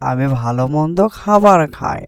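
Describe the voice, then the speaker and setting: A man's voice: a little high, animated, normal speed, clear, resonant tone, no echo, natural voice. A man voicing a mocking, boastful frog in a cartoon, haughty and taunting in manner. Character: mocking, boastful male frog sneering at a poor ant. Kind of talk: cartoon dialogue.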